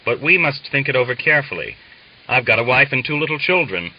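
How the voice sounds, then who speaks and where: A man's voice: mid-range voice, big pitch swings, fast talker, lo-fi sound, voice pushed up. A man voicing an angry, practical Cossack family man in an audiobook, speaking bluntly and with scorn. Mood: quiet anger. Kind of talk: dramatised audiobook dialogue.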